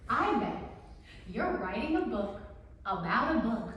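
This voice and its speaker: A woman's voice: on the high side, big pitch swings, unhurried. A woman playing a brash, defiant young student who needles her teacher in a sarcastic, slangy stage-play manner. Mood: mocking. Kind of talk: one-act stage play acting. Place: live stage performance, recorded from afar. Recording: echoey room, distant mic, faint noise.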